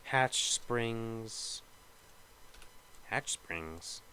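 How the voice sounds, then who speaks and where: A man's voice: medium pitch, expressive, very slow, pushed and bright, a little hiss or hum. A young man with a slightly nasal voice, rambling casually and thinking aloud as he talks over gameplay. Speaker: gaming video creator.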